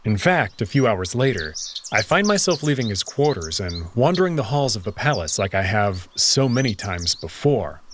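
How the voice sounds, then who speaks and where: A man's voice: fairly low pitch, a little hiss or hum. A man hosting a visual novel playthrough, reading scripted narration in a dramatic storytelling style. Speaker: host and reader of a game playthrough. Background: background music.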